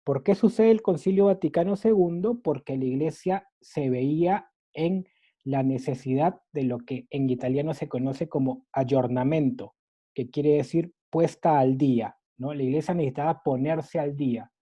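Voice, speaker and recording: A man's voice: a little high, moderate pace, mellow, slightly rough. A Peruvian man with a mixed Latin American Spanish accent, teaching a catechism lesson in an earnest, explanatory way, often restarting with fillers. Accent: mixed Latin American Spanish, Peruvian base. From Peru. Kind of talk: catechesis lecture. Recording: dull lo-fi recording.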